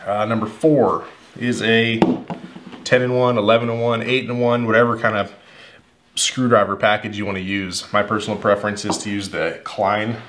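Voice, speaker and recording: A man's voice: lowish voice, level tone, moderate pace, fairly bright tone, slight background noise. A man in his thirties, an HVAC technician, casually going over his everyday tools in a workshop, rambling and repeating words as he thinks. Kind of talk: casual tool review talk to camera. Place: indoor workshop, at a wooden table.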